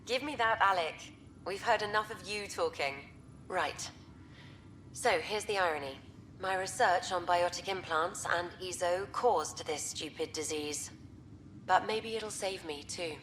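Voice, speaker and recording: A woman's voice: lowish voice, unhurried, fairly bright tone, faint music behind, poor audio. A woman playing a frank, wry, middle-aged scientist wife and mother in a video game, with a dryly teasing way of talking.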